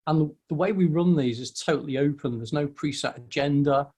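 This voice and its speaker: Guy running a zoom meetup, kinda high voice, friendly, keeps stammering restarts. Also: fairly quick, darkish tone. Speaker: host of a weekly online meetup. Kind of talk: casual chat on a video call. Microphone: video call.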